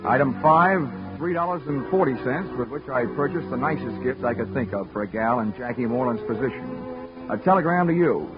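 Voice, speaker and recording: A man's voice: medium pitch, expressive, brisk, close to an everyday voice. Man voicing a wisecracking insurance investigator, dry narration, muffled old radio sound with music behind. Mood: wry. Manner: dry wisecracking first-person delivery. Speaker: lead actor. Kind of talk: 1940s radio drama narration.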